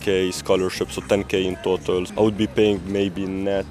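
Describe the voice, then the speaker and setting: A young man from Lebanon in his twenties, a graduate student giving articulate, measured answers in an outdoor interview, with the odd "uh". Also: deep voice, flat delivery, darkish tone, soft background music. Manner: articulate, measured, a little hesitant with "uh". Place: outdoors on a city campus.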